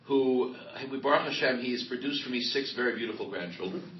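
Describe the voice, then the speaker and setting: A middle-aged man, a rabbi and author, speaking emphatically and loudly in a live interview, telling stories. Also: mid-range voice, fairly quick. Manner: emphatic, loud, storytelling. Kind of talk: live panel interview before an audience. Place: event hall with audience. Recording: roomy hall, distant mic, dull lo-fi sound.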